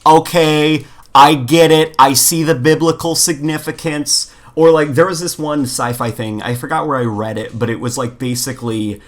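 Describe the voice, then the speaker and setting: A man co-hosting a casual podcast, rambling through jokey, animated stories and asides. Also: fairly high pitch, normal speed, few pauses. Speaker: podcast co-host.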